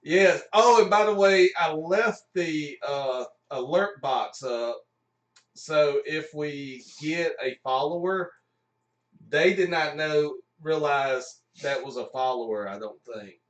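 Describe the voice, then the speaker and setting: A man's voice: on the high side, slow pace, a bit bright, recorded from a distance. Folksy guy chatting on a livestream, roomy sound, not close to the mic. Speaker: host and narrator of live stream. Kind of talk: casual live-stream chat. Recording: echoey room, off the mic.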